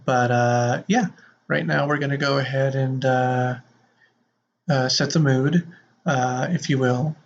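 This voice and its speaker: A man hosting a podcast in a casual, playful way, with rambling, halting delivery. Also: mid-range voice, fairly even intonation, a little hiss or hum. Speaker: podcast host. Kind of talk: casual chat with playful improvised sci-fi bits. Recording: slightly muffled audio with prominent music.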